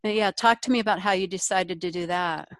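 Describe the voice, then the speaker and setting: A woman's voice: on the low side, a little fast, no echo. A woman hosting a business interview, thinking aloud and giving advice through hypothetical examples. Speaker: podcast host.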